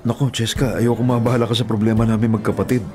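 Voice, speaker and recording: A young man with a Filipino accent acting in a radio drama as a devoted, struggling older brother and gentle sweetheart, with an earnest, hesitant, emotive delivery. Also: medium pitch, little pitch movement, quick pace, natural voice. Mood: hesitant, tender. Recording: music under the voice.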